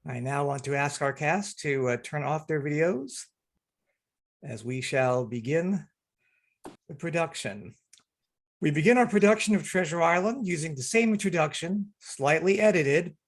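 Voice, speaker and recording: A man's voice: fairly high pitch, lively intonation, moderate pace. A man acting as director and announcer, introducing and narrating a radio play reading over a video call. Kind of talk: spoken introduction and scripted narration. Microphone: video call microphone.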